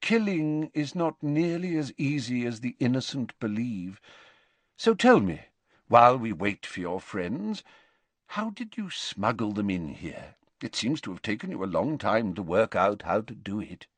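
A man voicing a wise, gentle, very old male wizard headmaster who speaks mildly and calmly. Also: mid-range voice, unhurried, no echo. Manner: mild, soft-spoken and calm.

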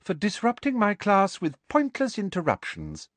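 A man voicing a prim, sugary, vindictive middle-aged female teacher in a smooth, condescending manner for a storybook. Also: medium pitch, very animated, unhurried, no echo, voice pushed up.

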